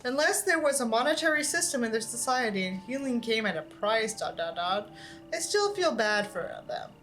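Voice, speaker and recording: High female voice, very expressive swings, read-aloud with casual commentary, irreverent, exasperated, sweary. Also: normal speed. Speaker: YouTuber reading a book aloud with commentary.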